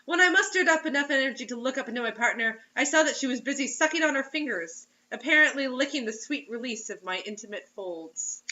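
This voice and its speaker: A woman's voice: sits high, animated, brisk, hardly any pauses, pushed and bright, highs cut off. A woman giving a theatrical, giggly, mocking read-aloud of amateur fiction, with asides. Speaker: amateur reader of bad fiction.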